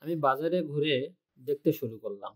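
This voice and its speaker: A young man narrating a spooky story in the first person, calm and steady. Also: a little high, normal speed.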